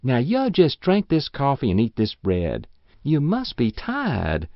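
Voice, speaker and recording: A woman's voice: a bass voice, wildly swooping pitch, measured pace, gravelly, no room sound, voice pushed up, exaggerated voice. A man voicing a stout, kindly old farm wife who fusses over a guest in rural speech. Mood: fussing. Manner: fussing, sympathetic, rural speech. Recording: dull lo-fi audiobook recording.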